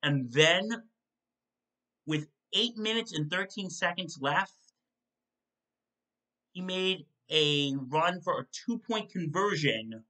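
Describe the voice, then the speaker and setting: A young man hosting a solo football postgame recap from his home kitchen, reading stat lines in quick lists and correcting himself as he goes. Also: fairly high pitch, expressive, bright, forceful tone, poor audio. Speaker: sports show host. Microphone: desk mic in front of a webcam.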